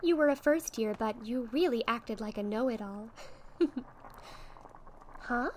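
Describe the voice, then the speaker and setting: A woman playing a sweet, encouraging young witch in an ASMR roleplay, speaking in a gentle, soothing manner. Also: on the high side, unhurried, slight background noise, lightly acted. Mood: reassuring. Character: sweet, encouraging young witch tutoring her shy friend. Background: soft potion bubbling and fizzing effects.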